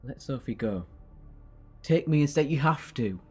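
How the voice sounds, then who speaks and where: A man's voice: medium pitch, animated, normal speed, soft background music, close to an everyday voice. A man playing a quirky, compassionate, talkative time-travelling hero in an audio drama, earnest and wry. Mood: earnest, self-sacrificing.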